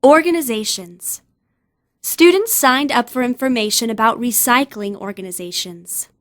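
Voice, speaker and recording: A woman's voice: fairly high pitch, moderate pace. A woman reading words, definitions and example sentences from a script as a lesson narrator.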